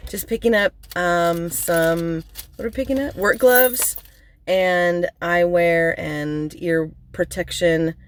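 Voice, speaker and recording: A woman's voice: fairly low pitch, slow pace, a little hiss or hum. An Australian woman chatting casually to a vlog audience in a lightly joking way. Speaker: vlogger. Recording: music playing behind the voice. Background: radio music.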